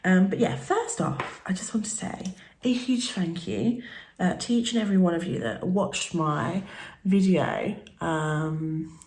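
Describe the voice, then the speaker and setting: A woman from the UK in her thirties, a beauty vlogger chatting casually while doing her makeup at home, her voice croaky from the early morning, rambling with soft laughs and plenty of fillers. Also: fairly low pitch, measured pace. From United Kingdom. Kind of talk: casual get-ready-with-me chat.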